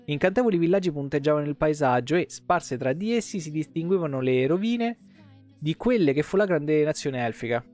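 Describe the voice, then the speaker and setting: A man in his thirties with a fairly high voice reads game lore aloud on a livestream. He tells it expressively, breaking off for offhand comments and corrections. Soft guitar music plays faintly behind him. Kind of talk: reading game lore aloud with casual asides. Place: indoor room, webcam livestream.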